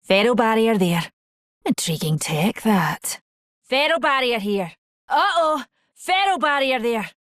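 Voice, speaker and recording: A curious scientist from a battle game. Her voice is fairly high and lively in pitch, with an inquisitive tone. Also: measured pace, no room sound, clean studio sound. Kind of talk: video game character callouts.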